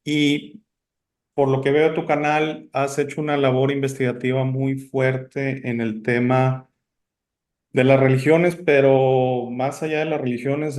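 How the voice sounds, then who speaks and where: Man, interview host, born in italy, chatty, rambling, lots of fillers, middle pitch. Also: darkish tone. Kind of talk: casual interview chat.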